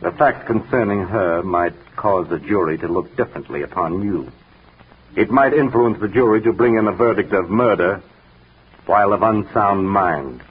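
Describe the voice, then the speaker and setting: Low, husky, stern police detective. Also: male voice. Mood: pressing, stern. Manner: deliberate, insistent, formal. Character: stern, dogged, accusing male police detective. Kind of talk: 1940s radio mystery acting. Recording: tinny, muffled 1940s radio recording.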